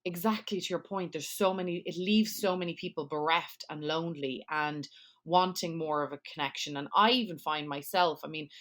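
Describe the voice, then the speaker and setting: A woman's voice: sits low, normal speed, a bit bright. A woman hosting a podcast and working as a memorial planner, chatty and self-deprecating as she talks with her guest. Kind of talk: podcast interview.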